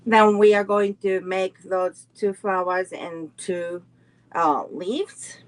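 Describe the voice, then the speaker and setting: Woman, middle-aged, card-making instructor, very slow unhurried delivery, chatty live-class teaching. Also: mid-range voice, steady tone. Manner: slow, unhurried, greets viewers and chats between steps. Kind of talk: live online craft class.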